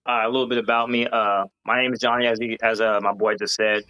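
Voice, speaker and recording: Brief podcast guest, male, from Hawaii, bright tone, earnest and rambling. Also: on the low side, little pitch movement, quick pace, clear, resonant tone. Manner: earnest, rambling, many fillers and restarts. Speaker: guest, founder of a gaming company. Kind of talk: casual podcast interview.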